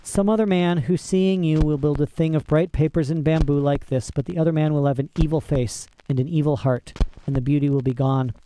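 A man playing a solemn old emperor in a storybook, measured and regretful yet commanding, with slight background noise. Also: a little high, fairly even intonation, dark tone, natural voice, poor audio. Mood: sad, resolute. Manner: measured, grave, sorrowful.

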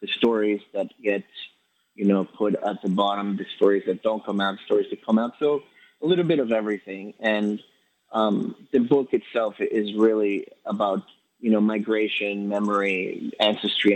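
A man from Ecuador talks about his work in a somewhat low voice. He speaks fast and halting, with frequent fillers and restarts, as a guest in a relaxed interview. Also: low-quality recording. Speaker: poet guest. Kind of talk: casual interview answers.

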